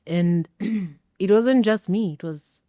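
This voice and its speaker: A middle-aged woman telling a personal story as an interview guest, speaking emphatically with pauses for effect. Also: medium pitch, warm tone, no echo, studio quality. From Kenya. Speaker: interview guest, former air traffic controller.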